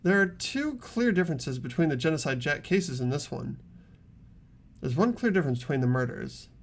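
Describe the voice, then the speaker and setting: A man voicing an earnest, polite teenage boy detective in a game, reasoning aloud in a deliberate, explanatory way. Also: on the high side, very animated, slight background noise. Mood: reasoning, firm. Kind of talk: amateur game voice acting.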